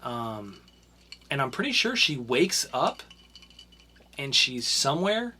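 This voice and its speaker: A man's voice: mid-range voice, big pitch swings, takes it slowly, pushed and bright, slight background noise. A young man co-hosting a podcast and chatting casually about comics, rambling with lots of fillers and restarts. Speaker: podcast co-host reviewing comics. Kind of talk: casual chat.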